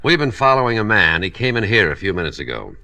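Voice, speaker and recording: A man playing a gruff, impatient homicide lieutenant who grumbles bluntly in an old radio crime drama. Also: lowish voice, sing-song, quick pace, bright, forceful tone, heavily acted. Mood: stern, impatient.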